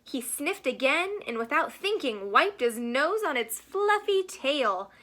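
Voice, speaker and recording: A woman reading a picture book aloud to children in an expressive storytelling style. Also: high voice, unhurried. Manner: asks the young listeners questions. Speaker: storybook reader.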